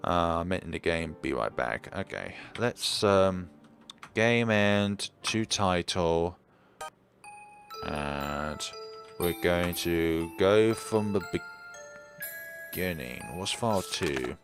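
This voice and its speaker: A man with an extremely deep, gravelly voice chats slowly and calmly while streaming a game. He mutters to himself as he clicks through menus. Soft game music plays faintly behind him. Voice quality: naturally deep voice. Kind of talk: casual live-stream gameplay chat.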